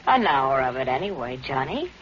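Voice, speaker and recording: A cool, ruthless femme fatale in a 1940s radio thriller. Her coaxing, insinuating voice slides widely in pitch. The old broadcast is muffled, with a faint hiss. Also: big pitch swings, moderate pace. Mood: seductive, teasing. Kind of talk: 1940s radio noir acting.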